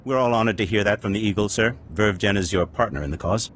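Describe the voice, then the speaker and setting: A male actor plays a deferential lab manager working for villains in a video game. His voice is fairly low and politely businesslike, over faint background noise. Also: quick pace, fairly bright tone, natural voice. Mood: deferential, serious.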